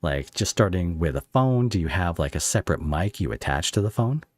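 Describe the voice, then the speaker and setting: A man hosting a casual interview podcast, effusive and halting as he thinks aloud. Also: deep voice, lively intonation. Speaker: podcast host interviewing a creator.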